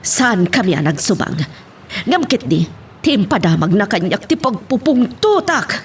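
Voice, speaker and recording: A woman's voice: medium pitch, big pitch swings, measured pace. A woman playing an indignant, hot-tempered landowner's wife and mother in an audio drama, with a heated, complaining manner. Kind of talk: Ilocano audio drama acting. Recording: faint background noise.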